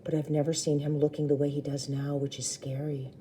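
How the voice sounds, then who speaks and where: Mellow, low-voiced woman reading aloud. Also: brisk, slight background noise. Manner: explains to listeners, expressive. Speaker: read-aloud storyteller. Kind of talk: expressive children's book reading with brief asides to listeners.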